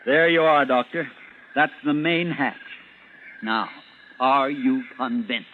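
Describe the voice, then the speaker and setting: A man's voice: mid-range voice, steady tone, slow, close to an everyday voice. An actor playing an exasperated, commanding space captain in old-time radio drama style, desperate to prove that he is sane. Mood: urgent, insistent. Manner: emphatic, impatient, commanding. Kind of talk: 1940s-50s radio drama acting. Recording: noisy, tinny old radio recording.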